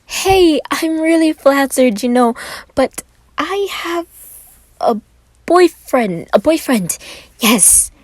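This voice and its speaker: A woman's voice: sits high, animated, takes it slowly, long pauses, no echo, pitched above the performer's own voice. A woman voicing a small, cute young man who stammers nervously in an audio story. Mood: nervous, flustered. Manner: stammering, hesitant.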